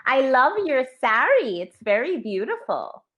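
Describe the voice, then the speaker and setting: New york lady teaching english over a video call, slightly high voice, bouncy pitch, lots of mm-hmms. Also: huge pitch swings, a little slow, dull audio. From New York, USA. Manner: encouraging, frequent mm-hmms, corrects gently. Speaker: online English tutor. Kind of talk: slow, clear tutoring conversation. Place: home teaching room with toys.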